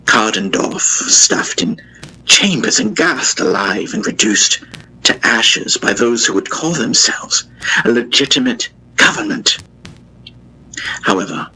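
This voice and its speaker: A man acts out a solemn queen addressing war-weary people in an audio drama. The delivery is slow and formal with heavy pauses, and the tone is strikingly bright and forceful. Some noise and low rumble sit behind the voice. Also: heavily acted, low-quality recording. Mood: sorrowful. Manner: deliberate, oratorical, with heavy pauses.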